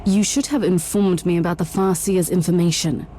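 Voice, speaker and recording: A woman's voice: fairly low pitch, fairly even intonation, normal speed, warm tone, slight background noise, lightly acted. Actress as a stern, formal female inquisitor. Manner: formal, severe, commanding. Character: stern, by-the-book female inquisitor in grim space war. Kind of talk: video game voice acting.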